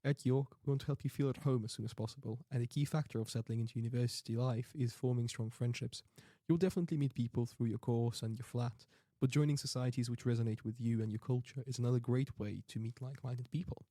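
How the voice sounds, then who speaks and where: A man's voice: medium pitch, fairly even intonation, fast talker, hardly any pauses, warm tone, no room sound. A young man hosting a student podcast, reading scripted links and asking questions with some hesitant restarts. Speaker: podcast host.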